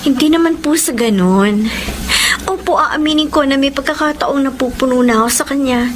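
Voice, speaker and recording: A woman's voice: sits high, normal speed, slight background noise, natural voice. A woman playing a long-suffering, devoted wife and mother in a Filipino radio melodrama, earnest and plaintive, with a Filipino accent. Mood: pleading, resigned. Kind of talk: Filipino radio melodrama acting and confessional narration.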